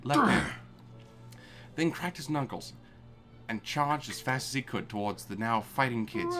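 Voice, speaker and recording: A man's voice: medium pitch, a bit bright. A man reading a story aloud live for comedy, with an irreverent manner and amused asides. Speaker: reader of fan fiction.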